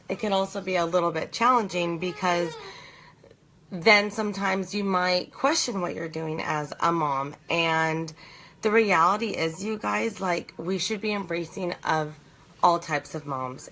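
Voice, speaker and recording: A slightly hoarse woman in her thirties, chatting earnestly on a live stream from her bed at home, rambling with many fillers and restarts. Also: deep voice, a bit bright, rough recording. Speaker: host of a live video challenge. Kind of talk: casual chat on a live stream.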